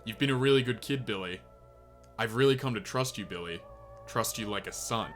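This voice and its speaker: A man's voice: on the low side, brisk, faint music behind, natural voice. A man playing a paranoid, domineering company boss in a comedy sketch, with a melodramatic, hard-boiled interrogating manner. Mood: quietly menacing.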